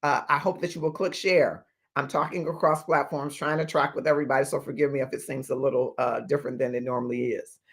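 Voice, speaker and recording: A man in his fifties hosting a motivational livestream as a life coach, speaking in deliberate, emphatic phrases with pauses. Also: medium pitch, steady tone, creaky, a bit bright. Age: 50s. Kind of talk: motivational livestream talk to viewers.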